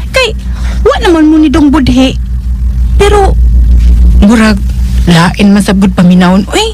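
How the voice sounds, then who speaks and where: Squeaky-high, gossipy Visayan nanny. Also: female voice, background noise. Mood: hesitant, prying. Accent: Filipino, Cebuano-speaking Visayan. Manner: halting, stammering, gossipy. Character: meddlesome, hesitant household nanny, deferential to her young mistress. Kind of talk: Philippine radio drama acting.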